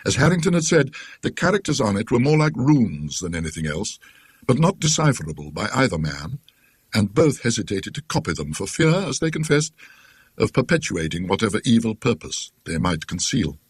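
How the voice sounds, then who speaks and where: A man's voice: on the low side, very animated, normal speed, slightly rough, no echo. A British man narrating a classic ghost story in a dry, scholarly, theatrical manner.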